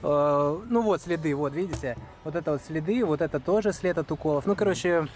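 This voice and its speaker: A man's voice: on the high side, a little mellow, soft background music. A man casually interviewing and joking with a friend outdoors in a city. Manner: casual, joking, quick asides. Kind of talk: casual chat.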